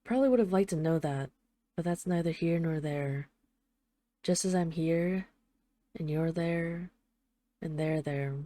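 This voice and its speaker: A woman's voice: low-pitched, mellow. A woman playing a tough but tender, jealous mafia boss, speaking intimately to an absent partner in a scripted audio roleplay voicemail. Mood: uneasy, jealous. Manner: intimate, halting, sweary, addressing an absent lover.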